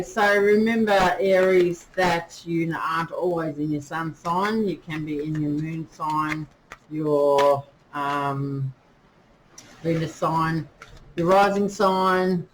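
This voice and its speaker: Slow-talking woman reading tarot. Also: sits low, poor audio. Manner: chatty, many fillers, talks to spirits while drawing cards. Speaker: tarot reader. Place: indoors with rain outside. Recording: faint rain noise behind.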